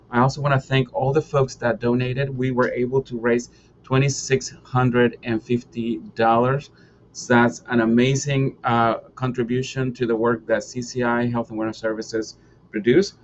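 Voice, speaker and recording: Male panel moderator, mid-range voice, muffled lo-fi call audio with faint noise. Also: medium pitch. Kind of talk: hosting a post-show discussion. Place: online video call. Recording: dull video call with background noise.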